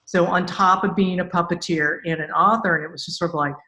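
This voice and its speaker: Low-voiced chatty woman in an echoey room. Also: steady tone, a little fast, bright, forceful tone. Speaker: podcast host, interviewer. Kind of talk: casual conversational interview.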